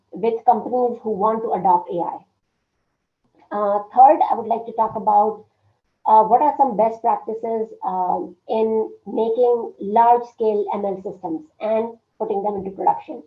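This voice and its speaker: A woman giving an online workshop presentation with a strained, hoarse voice, speaking haltingly with many fillers and frequent throat clearing. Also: fairly high pitch. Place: indoor room at home. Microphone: laptop webcam on a video call. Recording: phone-like video call audio, roomy echo.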